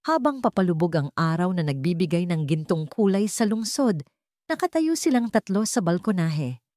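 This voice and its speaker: A woman narrates a sentimental story in a fairly low voice. Her delivery is measured and earnest, like a scripted audiobook. The sound is dry, with hardly any room on it. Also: big pitch swings, darkish tone, dry recording.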